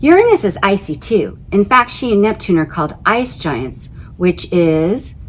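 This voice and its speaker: A woman reading a children's picture book aloud in an expressive, conversational way. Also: mid-range voice, measured pace, rough recording.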